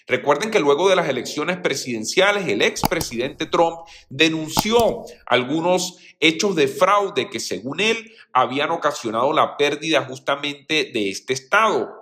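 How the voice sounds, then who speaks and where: A man's voice: on the high side, lively intonation, normal speed, few pauses, bright, forceful tone, a little hiss or hum. A man giving news commentary as a host, explaining things and addressing viewers directly.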